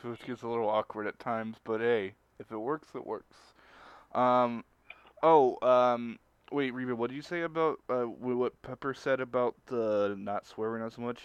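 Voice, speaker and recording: A man co-hosting a casual group stream chat, speaking haltingly and often rephrasing as he goes. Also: medium pitch, steady tone, smooth, no echo, dull audio. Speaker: stream co-host.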